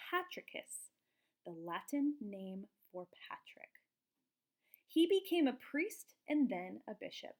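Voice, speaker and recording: A woman reading a picture book aloud to young children in an expressive, explanatory way, like a teacher. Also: sits high. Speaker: children's storybook reader, teacher.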